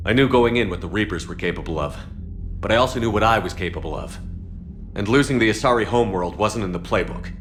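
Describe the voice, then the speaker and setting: A man's voice: on the low side, expressive, fast talker, fairly bright tone, a little hiss or hum. A man playing a battle-weary, resolute military commander in a dramatic video game. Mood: bitter.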